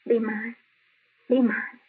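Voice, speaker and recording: Husky newspaperwoman, old radio. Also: on the high side, flat delivery, slow pace, heavily acted. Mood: tender, sorrowful. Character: worldly, tough yet lovelorn big-city newspaperwoman. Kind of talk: 1930s-40s radio drama acting. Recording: muffled, lo-fi old radio recording.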